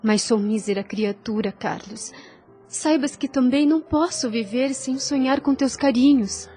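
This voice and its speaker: A woman's voice: high-pitched, a little slow, dark tone, faint music behind. An actress playing a devout young woman in a solemn audio drama, tender, self-denying and resolute, speaking in lofty, formal phrases. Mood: pleading, tender. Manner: lofty, formal, earnest delivery. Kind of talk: solemn audio drama acting of a religious novel.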